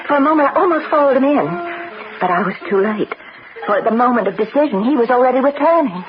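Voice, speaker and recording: A woman's voice: fairly high pitch, expressive, fast talker, lightly acted. An actress playing a troubled, inquisitive young woman in an old-time radio drama, earnest and dramatic in her delivery. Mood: uneasy, reflective. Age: young adult. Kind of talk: old-time radio drama acting, dialogue and first-person narration. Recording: dull old radio recording with loud music.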